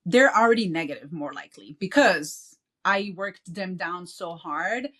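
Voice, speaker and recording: A woman's voice: on the low side, a little slow, a bit bright. A woman from Belgium, a former car salesperson, talking casually as a podcast guest and often checking in with "you know" and "right?".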